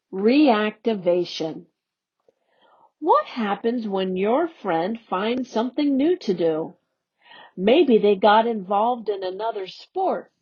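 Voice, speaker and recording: A woman on an education staff reading a youth newsletter aloud in a slow, expressive storybook manner. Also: medium pitch, slightly rough. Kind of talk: read-aloud for young learners. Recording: dull lo-fi audio.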